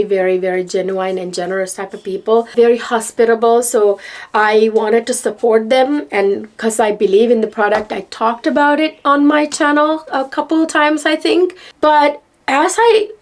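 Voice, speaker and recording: Chatty excitable woman from Sri Lanka. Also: a little high. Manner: excitable, rambling, repeats words. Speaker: vlogger. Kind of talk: casual chat to camera.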